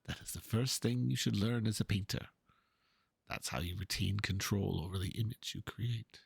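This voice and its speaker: A man playing an earnest, pompous painter in a dramatic reading of game dialogue, speaking in a florid, halting, theatrical way. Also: fairly low pitch, gravelly, slightly rough, no room sound, heavily acted. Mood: pleading, polite. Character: theatrical, earnest male painter, pompous and fervent about art.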